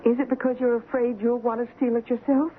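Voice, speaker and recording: An actress playing a kindly confidante in a radio drama, gently explaining and reassuring. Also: female voice, on the high side, flat delivery, a little fast, narrow, tinny audio, lightly acted. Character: kindly, reassuring confidante counseling a troubled girl. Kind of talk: old-time radio drama acting. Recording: thin, noisy old radio recording.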